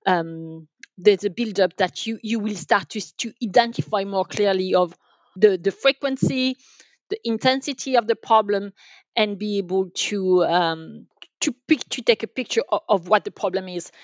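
A woman explaining things as an expert guest in a podcast interview, haltingly, often repeating and rephrasing her phrases. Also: mid-range voice, no room sound, dull audio. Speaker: guest, enterprise sales manager.

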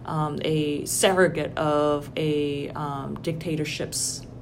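A woman's voice: low voice, level tone, slow. A woman from Indonesia in a casual academic conversation, speaking thoughtfully with frequent ums and restarts. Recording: noisy background hiss.

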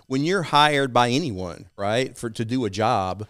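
A man's voice: medium pitch, lively intonation, a little slow, fairly bright tone, clean tone, no room sound, clean studio sound. A man from East Texas with an East Texas accent, a guest talking casually in an interview and often checking in with "right?".

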